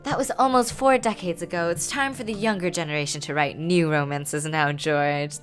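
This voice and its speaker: A woman's voice: on the low side, steady tone, normal speed, soft background music, lightly acted. Woman playing a grand older aristocratic godmother. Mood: gently firm. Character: older aristocratic godmother, kindly, lively, meddling. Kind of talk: reading game dialogue aloud.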